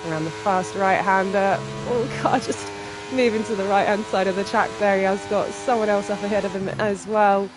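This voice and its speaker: A live sim racing commentator with a stuffy cold, reacting quickly and excitedly to lap times. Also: mid-range voice, noisy background. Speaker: race commentator.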